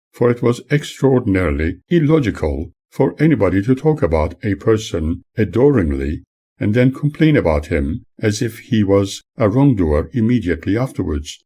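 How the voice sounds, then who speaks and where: A man reading his own memoir aloud as scripted narration, in a formal, measured manner. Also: fairly low pitch, mellow, slightly rough.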